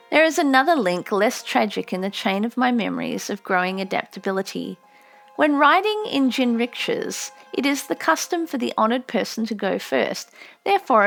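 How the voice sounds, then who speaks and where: A woman reading a memoir as an audiobook narrator, with measured storytelling delivery. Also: a little high, creaky.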